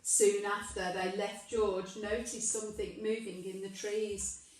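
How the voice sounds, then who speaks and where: A woman reading a children's story aloud with emphatic, deliberate delivery, addressing questions to young listeners. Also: mid-range voice, flat delivery, a little mellow. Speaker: primary school storyteller. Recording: very echoey room, distant microphone.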